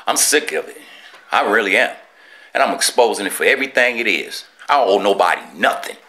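A man, filming a walking-tour vlog through an abandoned building, talking indignantly and dropping to hushed asides. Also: lowish voice, expressive, fairly quick, creaky. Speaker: street vlogger. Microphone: handheld camera.

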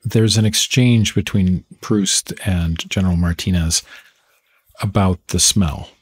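A man hosting a thoughtful literary interview in a measured, articulate way. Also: low voice, breathy edge. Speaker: podcast host, interviewer.

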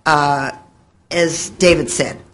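A woman's voice: deep voice, steady tone, slow, a bit bright. A woman explaining things to an audience as a panelist. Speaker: panelist, devoted fan of old radio. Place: hotel meeting room, panel table. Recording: slightly noisy meeting-room amplification.

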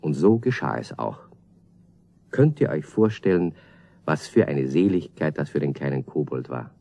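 A man's voice: extremely low pitch, expressive, moderate pace, vocal fry, warm tone. A man narrating a scripted children's radio play as its storyteller.

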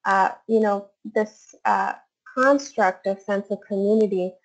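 A woman's voice: medium pitch, steady tone, measured pace. A woman researcher giving a webinar presentation, hesitant, with many fillers and restarts. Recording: dull lo-fi webinar audio.

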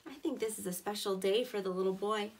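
A woman's voice: medium pitch, fairly even intonation, fast talker, darkish tone. A woman in her thirties reading a picture book aloud to preschoolers as their teacher, with simple, encouraging explanations, recorded indoors a short distance from the microphone. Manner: clear, simple, encouraging address to young children. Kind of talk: children's story read-aloud with explanatory asides.

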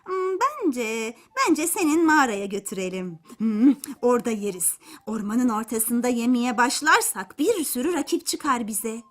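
A woman's voice: a little high, lively intonation, measured pace, few pauses. A woman voicing a sly, helpful fox who hums thoughtfully and coaxes persuasively in a children's folk tale. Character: sly, helpful male fox, scheming and coaxing.

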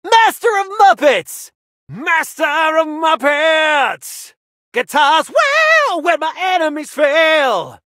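An actor voicing a brash heavy-metal dragon-riding knight in a video game, with a bombastic, theatrical delivery and drawn-out battle cries. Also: high voice, sing-song, sharp tone, no room sound, shouting, studio quality, full character voice. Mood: triumphant, roaring.

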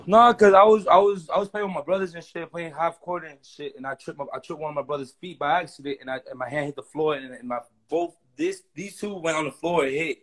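A man's voice: fairly high pitch, fast, hardly any pauses, a bit bright, low-quality recording. A man in his twenties chatting as a guest on a phone livestream, slangy and insistent, stumbling over repeated restarts. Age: 20s. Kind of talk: casual chat. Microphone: phone front camera.

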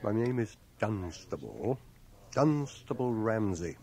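An actor playing a modest, stubborn, self-deprecating young wounded soldier in a radio drama. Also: male voice, lowish voice, sing-song, slow pace, darkish tone, heavily acted. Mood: awkward, curious. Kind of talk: 1980 radio drama acting. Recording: noisy home cassette transfer.

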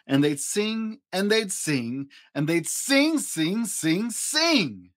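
A man's voice: high-pitched, very animated, slow, fairly bright tone. A man reads a children's story expressively, with dramatic, playful emphasis. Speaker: dad storyteller, host. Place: small indoor home studio room.

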